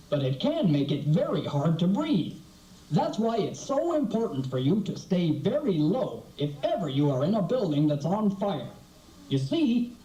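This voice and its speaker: A friendly puppet host played by a woman speaks to children with very animated, singsong delivery. The sound is distant and echoey, from an old muffled tape. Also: on the low side. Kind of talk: scripted puppet show narration for children.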